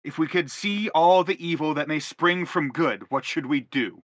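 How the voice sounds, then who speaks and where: A man's voice: a little high, normal speed, a bit bright, no room sound, lightly acted. An actor playing an anguished middle-aged father in a stage drama, pompous, wordy and insistent as he justifies himself. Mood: pleading, self-justifying. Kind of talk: theatrical stage drama acting.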